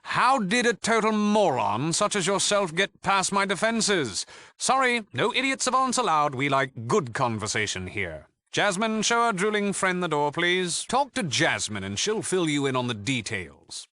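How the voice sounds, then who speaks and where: A wry, bright-voiced British rogue. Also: male voice, sits high, big pitch swings, normal speed, no room sound, heavily acted. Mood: mocking. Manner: wry, sardonic, flippant. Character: cheeky, worldly thief-gang leader, witty and disdainful. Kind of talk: video game character dialogue.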